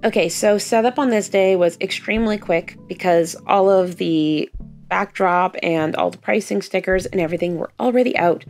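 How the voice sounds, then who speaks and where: A young Canadian woman with a hoarse, gravelly voice, chatting casually in a vlog voice-over about her weekend. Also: on the low side, normal speed, darkish tone, faint music behind. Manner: chatty, upbeat recounting. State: hoarse, lost voice. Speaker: doll artist vlogger.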